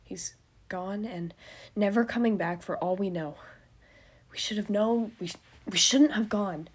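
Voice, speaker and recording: A woman voicing an anxious young man who spirals into panicked questions and angry shouting, her voice husky. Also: medium pitch, a little slow.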